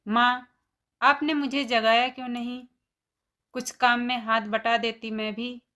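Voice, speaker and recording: A woman playing a shy, dutiful young newlywed daughter-in-law in an animated story, soft and polite. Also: fairly high pitch, measured pace. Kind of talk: animated moral story dialogue.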